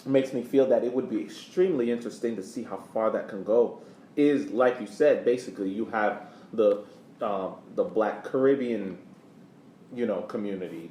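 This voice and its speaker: Low-voiced man chatting, echoey room. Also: darkish tone. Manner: emphatic, repeats phrases for emphasis. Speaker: podcast host. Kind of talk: casual soccer podcast discussion. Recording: roomy echo, faint background noise.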